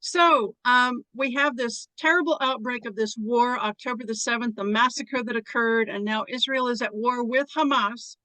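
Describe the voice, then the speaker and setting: A woman's voice: a little high, steady tone, moderate pace, hardly any pauses, sharp tone, no room sound, rough recording. A woman hosting an interview show, asking questions in a friendly, earnest way. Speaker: show host.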